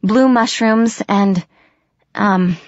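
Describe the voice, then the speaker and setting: A woman voicing a frightened but quick-thinking captive girl who bluffs and pleads, in an audiobook. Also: medium pitch, dry recording, lo-fi sound, voice pushed up. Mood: anxious bluffing. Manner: bluffing, pleading, hesitant when cornered. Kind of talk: audiobook character voice.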